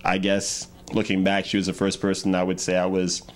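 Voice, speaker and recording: Low-voiced man, laid-back and rambling. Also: monotone, brisk. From Trinidad and Tobago. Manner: rambling, many fillers and restarts. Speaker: guest, hip-hop artist. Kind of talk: casual interview talk.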